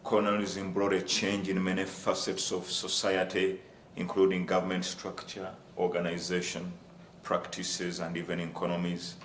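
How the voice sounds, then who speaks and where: A man from Uganda, giving a formal presentation on a university panel and addressing the audience as ladies and gentlemen. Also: low-pitched, completely flat delivery, normal speed. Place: lecture room. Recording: echoey room with faint ambient noise.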